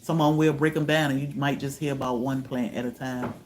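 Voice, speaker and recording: A man from Virginia, hosting a homestead livestream, chatting with viewers in a relaxed way, often letting his voice trail off softly. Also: medium pitch, a little fast, a little hiss or hum. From Virginia, USA. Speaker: homestead channel host. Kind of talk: livestream chat answering viewers.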